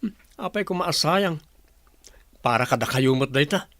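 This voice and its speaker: A man's voice: on the high side, animated, unhurried, fairly bright tone, dry recording. A man with an Ilocano accent acting in a radio play as a breezy, defensive, free-spending married son. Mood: eager.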